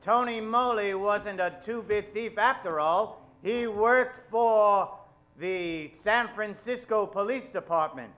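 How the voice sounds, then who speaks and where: Male host-narrator for vintage radio show: dramatic, high, ringing bright delivery. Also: slow, lo-fi sound. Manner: dramatic, showy. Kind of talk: old-time radio announcing. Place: theater stage. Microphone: vintage-style stand microphone.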